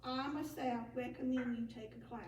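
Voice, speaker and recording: High-voiced woman in an echoey hall. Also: steady tone, normal speed, background noise. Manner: reads aloud with small slips and restarts. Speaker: senior center director. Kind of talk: reading prepared remarks at a meeting. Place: large meeting room. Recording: echoey room, distant mic, faint ambience.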